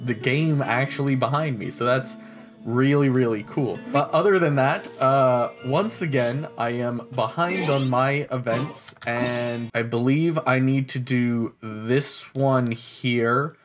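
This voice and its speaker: A young man hosts a gaming video with casual commentary. He rambles in a chatty way at an average pitch. Music from the game plays clearly behind him. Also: slow. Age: young adult. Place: indoor room, in front of green screen.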